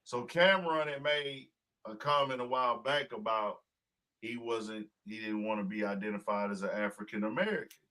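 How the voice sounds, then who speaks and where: Bright-voiced man on a podcast, emphatic. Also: fairly low pitch, moderate pace. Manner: profane, emphatic, animated storytelling. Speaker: podcast host. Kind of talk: casual podcast chat.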